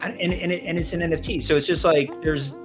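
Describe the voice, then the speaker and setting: A man's voice: a little high, steady tone, quick pace. A man co-hosting an online music show in casual chat, rambling through explanations with many restarts and chuckles. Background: music playing.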